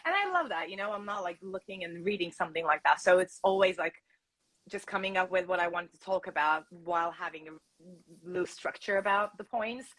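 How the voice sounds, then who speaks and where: A woman's voice: fairly low pitch, brisk, fairly bright tone, rough recording. Chatty Turkish-accented woman, laughs easily. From Turkey. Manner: chatty, laughs often, improvises. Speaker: guest, content creator and productivity coach. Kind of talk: casual interview chat. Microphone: video call.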